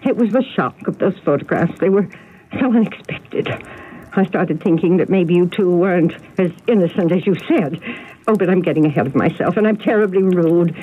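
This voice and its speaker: An actress playing a genteel, agitated older spinster telling family secrets in a radio mystery drama. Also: female voice, on the low side, moderate pace, lightly acted. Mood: flustered, apologetic. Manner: fretful, genteel, dramatic storytelling. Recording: narrow, noisy old radio broadcast recording.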